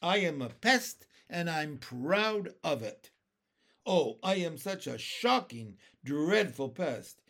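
A cocky male centipede from a children's storybook, as a man reads him, proud to be a pest. He is exuberant and theatrical, pitched up, with big swings in intonation. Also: animated, a little slow, darkish tone. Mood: gleeful pride.

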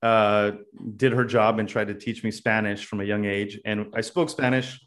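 A young man from California, a podcast guest telling his story in a loose, casual way with many pauses and "uh"s. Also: fairly low pitch, little pitch movement, fairly bright tone. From California, USA. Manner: loose, thinks aloud with many "uh"s.

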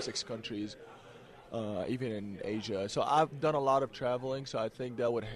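A young man from Egypt whose first language is Arabic, speaking English softly as a guest in an interview and laughing often. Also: medium pitch. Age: young adult. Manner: soft-spoken, laughs often, relaxed. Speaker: college basketball player, guest.

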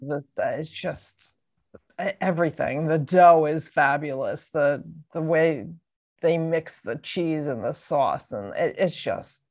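A woman's voice: low-pitched, slow, a little mellow. A woman from New Jersey chatting casually as co-host, easygoing and enthusiastic about local food. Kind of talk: casual chat.

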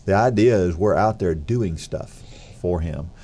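A man co-hosting a faith podcast, sounding congested and nasal, speaking in a thoughtful, relaxed way. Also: sits low, unhurried. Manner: thoughtful, relaxed, frequent affirmations. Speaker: podcast co-host.

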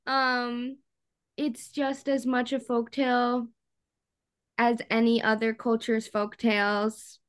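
Female podcast co-host, slightly high voice, chatty and slangy, for informal book talk. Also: little pitch movement, slow pace, clear, resonant tone. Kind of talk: casual book chat.

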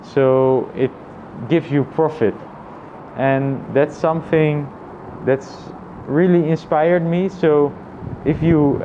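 A man thinking aloud in a casual, reflective personal vlog, with halting phrasing and frequent repetition. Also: a little high, fairly even intonation, dull audio, slight background noise. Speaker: vlogger.

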